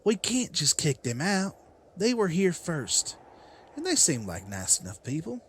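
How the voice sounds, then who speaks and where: A man acting as an earnest survivor leader in a comic-book drama. His voice sits a little high and moves in lively swings as he makes his case persuasively. There is some noise behind him. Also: unhurried. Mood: placating. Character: Rick, earnest, persuasive man leading zombie-apocalypse survivors.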